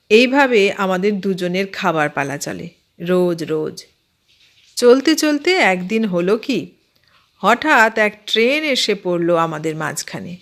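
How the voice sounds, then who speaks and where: Female narrator for humorous fiction, slow delivery, middle-register voice. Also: mid-range voice, a bit bright. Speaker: audiobook narrator. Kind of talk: scripted narration of a humorous story.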